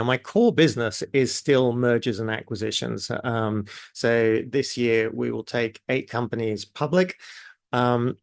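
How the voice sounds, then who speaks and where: A man's voice: medium pitch, dry recording, clean studio sound. Chatty man with a mixed Kiwi-British accent. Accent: mixed New Zealand and British. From New Zealand. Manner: chatty, filler-heavy, addresses audience in chat. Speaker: webinar presenter. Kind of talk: live online masterclass.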